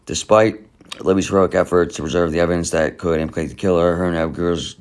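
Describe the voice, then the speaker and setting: A man's voice: sits low, fairly even intonation, quick pace, clear, resonant tone. A man hosting a true crime channel, reading an article aloud in casual commentary, rambling and skeptical. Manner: rambling, skeptical, hums between passages.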